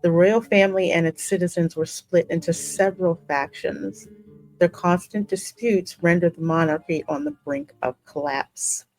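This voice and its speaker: A woman with a lowish voice narrates a fantasy game story. Her reading is measured and dramatic, with music playing behind her. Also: darkish tone, rough recording. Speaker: gaming host voicing the player character. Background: musical game soundtrack.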